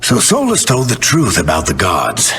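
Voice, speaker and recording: An actor playing a wounded veteran team leader who talks wryly and coaxingly, like a mentor, in a video game cutscene. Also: male voice, sits low, wildly swooping pitch, moderate pace, gravelly, fairly bright tone, long reverb tail, full character voice.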